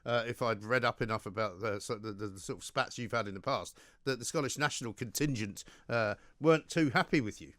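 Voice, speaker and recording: A man's voice: fairly low pitch, brisk, fairly bright tone, no room sound. Male talk radio host, dry studio sound. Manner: conversational, frequent "uh" fillers.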